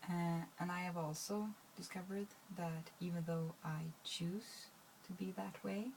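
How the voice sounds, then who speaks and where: A woman's voice: fairly low pitch, steady tone, measured pace, a little mellow, a little hiss or hum, poor audio. A woman, talking to her viewers in a rambling, hesitant way with many fillers and restarts. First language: Swedish. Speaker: vlogger. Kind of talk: casual talk to camera.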